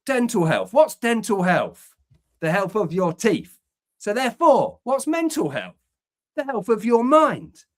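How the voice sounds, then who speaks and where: A man's voice: high-pitched, very animated, measured pace. An English man co-hosting a podcast from an upstairs room at home, earnest and emphatic, swearing to drive points home. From England. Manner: emphatic, swears for emphasis, repeats words when pressing a point. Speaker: podcast co-host, men's community founder. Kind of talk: earnest conversational podcast talk.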